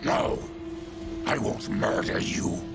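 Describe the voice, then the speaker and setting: An actor voicing a gruff, fierce but honourable monstrous warrior in a fantasy video game, with a gravelly, growling voice. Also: male voice, sits low, huge pitch swings, slow, exaggerated voice. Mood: grave resolve. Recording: loud music behind.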